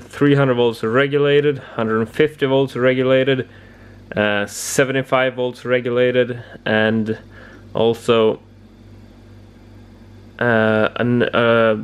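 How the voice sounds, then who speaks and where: A man giving a casual, unscripted hobby demonstration, haltingly searching for words, in a home workshop. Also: medium pitch. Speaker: amateur radio hobbyist presenting homebrew project. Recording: clear background noise, room ambience.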